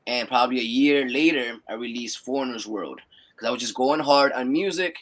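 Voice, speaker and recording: A young man from Peru, raised in the United States, talking as a musician guest in a relaxed way, often repeating words and checking in with "right?". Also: mid-range voice, brisk. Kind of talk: casual interview chat.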